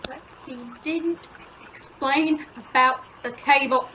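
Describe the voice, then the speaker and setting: A woman's voice: sits high, fairly even intonation, slow. A woman playing a worried, placating wife and mother in an old-time radio sitcom. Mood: placating, doubtful. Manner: placating, anxious. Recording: dull lo-fi radio play recording, faint noise.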